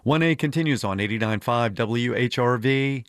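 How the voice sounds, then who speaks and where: A man's voice: medium pitch, normal speed, no room sound, clean studio sound. A man reading a scripted local weather forecast and station identification as a radio announcer.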